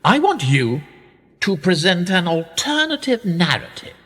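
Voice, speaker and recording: An actor playing a sly, persuasive older conspirator in a radio play, theatrical and insinuating, with husky, drawn-out, often hushed phrases. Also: male voice, sits high, sing-song, heavily acted. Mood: intent. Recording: echoey hall-like reverb.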